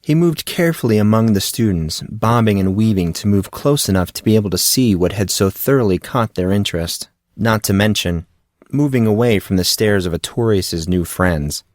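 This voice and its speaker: A man narrating a fantasy audiobook in a steady storytelling delivery. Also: lowish voice, normal speed, no echo, studio quality. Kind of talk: audiobook reading.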